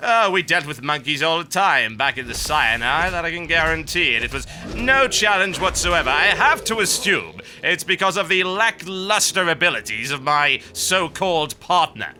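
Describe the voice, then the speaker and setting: A man's voice: on the high side, lively intonation, normal speed, few pauses, bright, forceful tone, slight background noise. A man voicing a pompous old British army officer in a haughty, clipped posh British accent, while playing a co-op game. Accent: put-on posh British. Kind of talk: in-character co-op game commentary.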